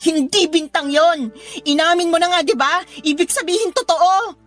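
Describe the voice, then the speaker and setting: Overworked young mother, radio drama; piercingly high voice, biting and emotional, light music behind. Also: normal speed. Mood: sarcastic, angry. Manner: sharp, sarcastic, emotional. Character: overworked, fed-up young mother confronting her cheating partner. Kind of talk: Filipino radio drama acting.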